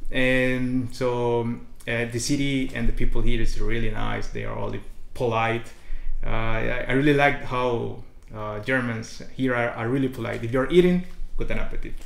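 A man's voice: mid-range voice, normal speed, vocal fry, hardly any pauses. A young man from Honduras with a Honduran Spanish accent, a native Spanish speaker, answering in a casual interview with fillers and restarts. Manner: animated storyteller with frequent restarts and fillers. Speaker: international student guest. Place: small colorful lounge indoors. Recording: echoey room.

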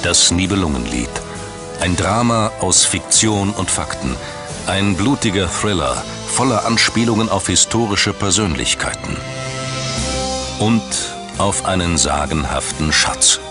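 Male narrator, very low voice, measured and solemn scripted documentary read over prominent music. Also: lively intonation. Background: background music.

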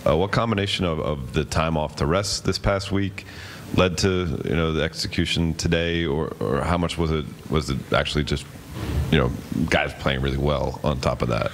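A man's voice: very deep voice, steady tone, normal speed, slight background noise. A male reporter asking a long, winding question at a press conference.

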